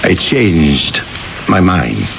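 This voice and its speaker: Creaky, indignant jealous husband. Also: male voice, low-pitched, big pitch swings, full character voice. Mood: indignant, flustered. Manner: halting, stammering, indignant delivery. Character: proud, jealous, flustered older Italian merchant husband. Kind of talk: 1970s radio drama acting. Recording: dull lo-fi radio recording, faint noise.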